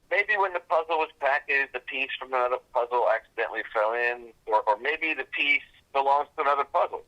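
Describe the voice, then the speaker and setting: A man's voice: mid-range voice, brisk, lightly acted. An actor playing a breezy male customer service agent heard over a phone line. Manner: helpful, offhand phone patter.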